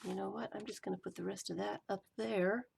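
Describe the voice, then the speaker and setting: A woman crafting and talking to herself as she works, her voice going hoarse. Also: mid-range voice, fairly even intonation, a little fast, darkish tone, a little hiss or hum. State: voice giving out, hoarse. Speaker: collage journaling vlogger. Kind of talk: casual running commentary while crafting.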